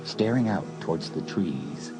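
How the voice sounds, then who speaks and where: A man narrating a dramatised horror audiobook in a measured storytelling manner. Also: low-pitched, expressive, loud music behind the voice, rough recording.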